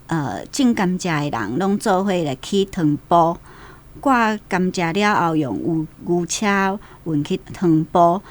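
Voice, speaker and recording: Female radio commentator, Taiwanese accent, lowish pitch, orderly explanatory delivery with conversational touches. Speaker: guest commentator on a radio programme.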